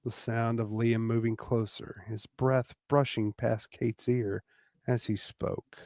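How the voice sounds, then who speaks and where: Male narrator, dramatic romance reading, gravelly, unhurried, dry close recording. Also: medium pitch, level tone, a little mellow, no echo.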